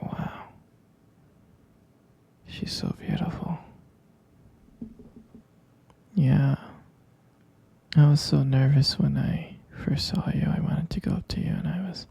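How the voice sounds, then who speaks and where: A man playing a sleepy, tender young boyfriend in an ASMR roleplay, murmuring soft, hesitant reassurance in a breathy, husky whisper. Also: fairly high pitch, exaggerated voice.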